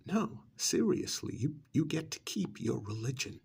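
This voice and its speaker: A man playing a smug, pampered vampire's familiar recruiter in an ASMR role-play, cajoling and dismissive, with a gravelly voice that often drops to a breathy near-whisper. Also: fairly high pitch, big pitch swings, darkish tone, exaggerated voice. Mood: dismissive coaxing. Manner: conversational, cajoling, with rhetorical questions and pauses.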